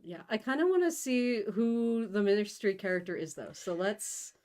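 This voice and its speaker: A woman's voice: medium pitch, animated, normal speed, a little mellow. A woman co-hosting a livestream, talking and reading aloud in a playful, informal way. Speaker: co-host of a book-reading livestream. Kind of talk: casual livestream chat and read-aloud.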